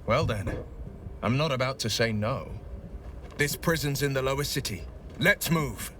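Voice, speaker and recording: A man's voice: medium pitch, a little slow, slight background noise. A man playing a noble, earnest adventurer in a fantasy game, eloquent and sincere. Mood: resolute. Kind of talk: video game voice acting. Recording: slightly distant, loud music behind.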